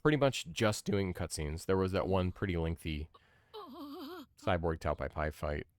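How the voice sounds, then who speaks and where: A man's voice: low-pitched, animated, moderate pace, dry recording. A man in his thirties giving casual, conversational gameplay commentary as a streamer, thinking aloud into a close mic. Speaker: game streamer, podcast host.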